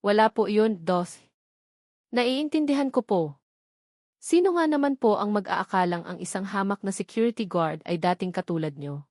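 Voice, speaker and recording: A woman voicing a humble, polite male security guard, soft-spoken and deferential. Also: mid-range voice, normal speed, no echo, clean studio sound, natural voice.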